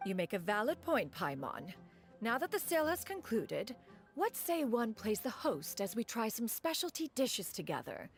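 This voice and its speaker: A proud, refined video game immortal. She speaks in haughty, archaic, formal phrases with an animated rise and fall, over faint music. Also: on the high side, moderate pace. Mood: gracious. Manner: haughty, archaic, formal, calls herself "one". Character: proud, refined, ancient immortal woman adjusting to city life. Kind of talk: video game character dialogue.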